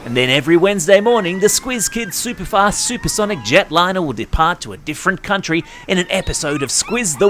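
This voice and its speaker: A man's voice: on the high side, fairly bright tone, a little hiss or hum. An Australian man hosting a news podcast for children, reading scripted stories in a chatty, playful way and speaking straight to young listeners. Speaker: podcast host.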